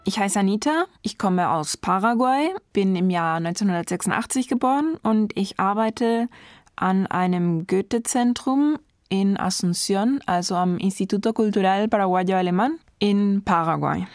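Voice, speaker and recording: A woman in her thirties from Germany, a German teacher answering portrait interview questions in a thoughtful, measured way, enthusiastic about teaching. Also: medium pitch, darkish tone, no echo, clean studio sound.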